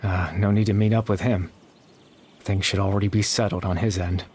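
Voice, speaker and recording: A man voicing a young man who exists only in memory, calm and apologetic, speaking in a measured, quiet, explanatory way. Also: deep voice, husky. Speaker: game character. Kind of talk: video game voice acting.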